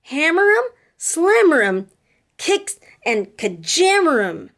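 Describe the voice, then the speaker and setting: A woman's voice: high-pitched, sing-song, takes it slowly, a bit bright. A woman reading a picture book aloud to children like a teacher, speaking to them directly with dramatic emphasis. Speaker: read-aloud storyteller, teacher-like.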